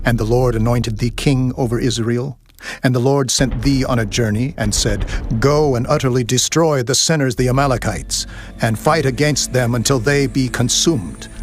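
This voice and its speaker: An actor playing a stern, righteous prophet who rebukes, in a dramatized scripture reading. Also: male voice, medium pitch, normal speed, soft background music, natural voice.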